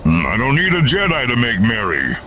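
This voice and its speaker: A man voicing a huge, jolly, drunken alien reveler in a gruff, slurred voice for an audiobook. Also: medium pitch, wildly swooping pitch, moderate pace, very noisy, pitched above the performer's own voice. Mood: dreamy, compliant. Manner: slurred, hiccuping drunk delivery.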